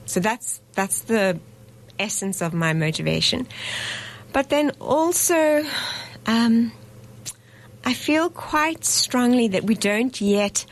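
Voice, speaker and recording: A woman in her fifties, a festival director from South Africa, talking in a radio studio interview, thoughtful and correcting herself as she goes. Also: mid-range voice. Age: 50s. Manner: thoughtful, self-correcting, reflective.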